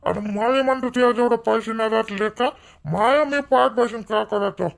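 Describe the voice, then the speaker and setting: Man voicing a high-pitched, creaky drunken village brother. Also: normal speed. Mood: belligerent. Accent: Vidarbha Marathi. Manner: rambling, challenging, repetitive. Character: drunk, boastful, quarrelsome village elder brother, doting father. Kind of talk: village cartoon dialogue.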